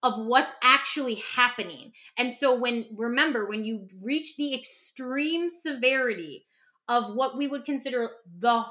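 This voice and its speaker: Bright, higher-pitched woman, emphatic and candid. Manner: emphatic, passionate, repeats phrases for stress. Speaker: podcast host, clinician and author. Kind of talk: candid conversational podcast talk.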